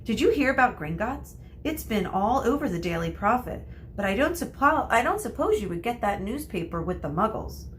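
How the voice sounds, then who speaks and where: A woman's voice: fairly high pitch, sing-song, normal speed, a little hiss or hum, pitched above the performer's own voice. A woman voicing a gloomy, plain-spoken young wizard boy who grumbles his way through a storybook. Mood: gossipy.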